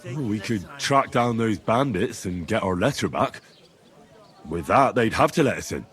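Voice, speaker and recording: An actor playing an earnest, level-headed and loyal young squire in a medieval video game. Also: male voice, lowish voice, lively intonation, moderate pace, a bit bright. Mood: reasoning. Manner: earnest, reassuring. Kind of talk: video game cutscene acting.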